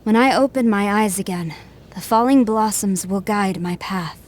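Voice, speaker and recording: A woman's voice: mid-range voice, normal speed, lightly acted. A woman voicing a stern, dutiful young general in a video game, with a formal, composed and resolute manner. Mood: composed, earnest. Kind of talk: scripted video game character voice lines.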